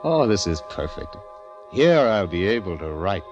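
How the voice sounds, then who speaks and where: A man's voice: sits low, very animated, moderate pace, muffled recording. A man playing a nervous, reclusive poet narrating his diary in an old radio drama, intense and hesitant. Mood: contented, musing. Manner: hesitant, breathless intensity, stammering. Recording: vintage radio drama with music behind.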